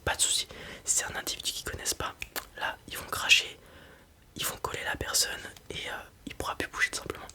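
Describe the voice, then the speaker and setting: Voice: a little fast, close to an everyday voice. A performer voicing a reassuring fantasy dragon breeder in an ASMR roleplay, whispering breathily and explaining things informally, with small hesitations. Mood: reassuring, instructive.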